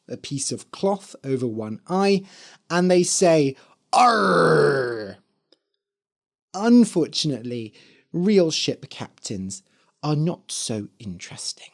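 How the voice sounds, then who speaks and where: A podcast host and English teacher with a slightly hoarse, dry voice, explaining things clearly and carefully for language learners. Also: medium pitch, big pitch swings, slow, a little mellow. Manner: careful enunciation, spelling words out letter by letter.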